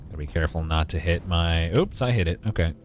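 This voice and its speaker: A man in his twenties with a deep voice gives casual, wry live gaming commentary and talks back to his chat. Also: quick pace. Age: 20s. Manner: wry, joking, mild swearing, talks to chat. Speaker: game streamer.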